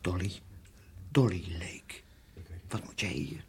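A man's voice: deep voice, lively intonation, slow pace, darkish tone, a little hiss or hum, full character voice. An actor playing a blunt but protective male newspaper reporter in a radio play. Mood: surprised, concerned.

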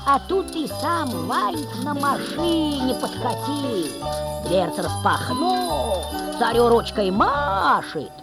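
A woman's voice: high voice, big pitch swings, slow pace. A woman narrating a fairy-tale audio play in a theatrical, folksy storyteller's dialect. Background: music.

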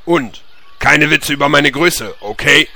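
A man playing a touchy, blustering dwarf king in a comedy audio drama, gruff and domineering. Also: on the high side, measured pace, sharp tone, exaggerated voice. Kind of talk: German audio drama parody.

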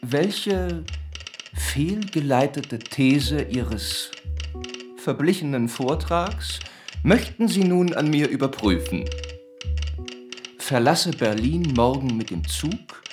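Music sits behind a sardonic radio-drama figure dictating a telegram. He speaks very slowly in clipped, formal phrases with a mocking edge. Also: medium pitch, lively intonation. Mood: mocking, deliberate. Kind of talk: German radio drama acting.

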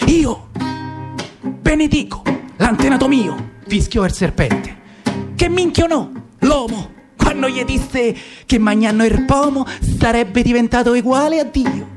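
Roman-accented male narrator, high voice, verse recitation over music. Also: expressive, a little slow. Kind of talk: dialect verse recitation for radio theatre.

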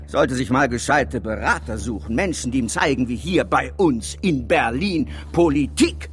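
A man's voice: medium pitch, animated, normal speed, lightly acted. An actor playing a domineering PR consultancy boss in a satirical radio drama, pompous and rhetorical as he briefs his team. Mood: indignant, scheming. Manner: pompous, rhetorical, piles up clauses. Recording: music behind the voice.

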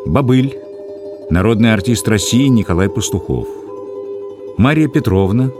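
A man reading scripted radio credits in a formal announcer style. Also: on the low side.

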